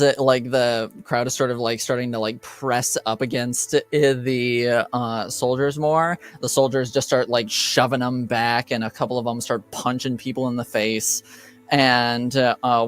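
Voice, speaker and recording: Clear-voiced man narrating a game, halting delivery. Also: medium pitch. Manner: halting, hesitant, casual. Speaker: game master. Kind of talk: improvised tabletop game narration.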